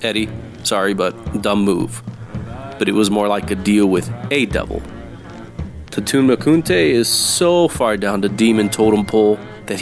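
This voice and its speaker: A man playing a hardboiled, wisecracking supernatural detective with a slangy, wry, tough manner. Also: on the low side.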